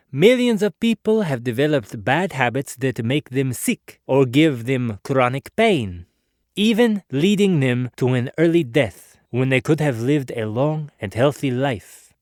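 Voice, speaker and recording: A man acts out an earnest male inventor reflecting on his life and ideas in a dramatic biography. His delivery is measured, formal and oratorical, at an average male pitch. The sound is dry, with no room echo. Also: natural voice. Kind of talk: dramatic biography narration, formal first-person monologue.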